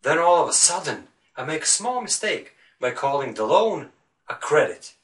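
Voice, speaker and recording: A man's voice: on the high side, very animated, moderate pace, fairly bright tone, recorded from a distance. A man from Latvia with a Latvian accent, coaching viewers in English in an earnest video lesson and putting rhetorical questions to them.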